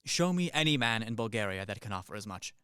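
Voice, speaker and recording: A man's voice: on the low side, expressive, fast talker, bright, forceful tone, clear, resonant tone, no echo, studio quality. A man playing a practical Swiss army captain in his thirties in a radio comedy, matter-of-fact and emphatic. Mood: matter-of-fact boasting. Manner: matter-of-fact, emphatic, reeling off lists and figures. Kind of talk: stage-comedy radio play acting.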